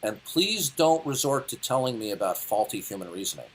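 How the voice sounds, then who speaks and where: A man's voice: mid-range voice, big pitch swings, fairly quick, slight background noise, low-quality recording. Condescending, lecturing man in his fifties. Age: 50s. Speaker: debate caller. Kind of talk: heated debate.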